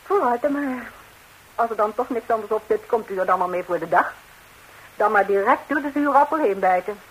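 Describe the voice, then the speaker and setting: A woman's voice: a little high, expressive, fairly quick, husky, low-quality recording. An actress playing a composed, unafraid lady of the house in a radio comedy, polite and drily sardonic. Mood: resigned, unafraid. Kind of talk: 1960s radio comedy acting in Dutch. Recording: hissy 1960s radio recording.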